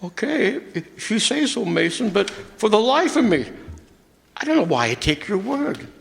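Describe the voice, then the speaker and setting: A man's voice: high-pitched, big pitch swings, a little slow, creaky, exaggerated voice. An actor playing a grief-stricken, embittered night driver in a radio drama, stammering and repeating his words. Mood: wistful, grieving. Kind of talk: stage radio drama acting. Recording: live stage performance, long hall reverb.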